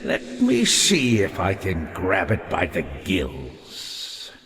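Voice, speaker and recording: A man's voice: low-pitched, huge pitch swings, takes it slowly, fairly bright tone, heavily acted. An actor voicing a scholarly, ghostly male librarian in a fantasy game, speaking in an erudite, florid, musing manner with fascination and melancholy. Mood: reverent, fascinated. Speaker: non-player character in a fantasy game. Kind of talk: theatrical game dialogue, reading and translating old texts. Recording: long hollow reverb, noticeable background hiss.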